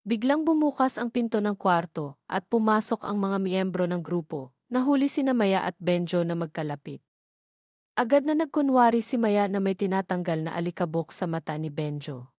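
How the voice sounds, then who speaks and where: A woman's voice: mid-range voice, darkish tone, dry recording, clean studio sound. A woman reading a scripted story as its narrator.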